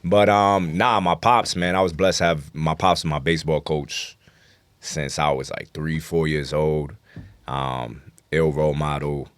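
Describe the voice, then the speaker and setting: A man's voice: a bass voice, fairly even intonation, measured pace, clear, resonant tone, no room sound. A man with a New York accent talking casually and bluntly in street slang as an interview guest. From New York City. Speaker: interview guest, rap artist.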